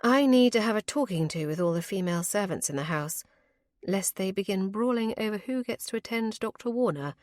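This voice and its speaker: Woman voicing a gently firm, astute gentlewoman. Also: mid-range voice, animated, a little fast, no room sound, studio quality, lightly acted. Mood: practical. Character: astute, caring, composed young gentlewoman. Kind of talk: audiobook character voice.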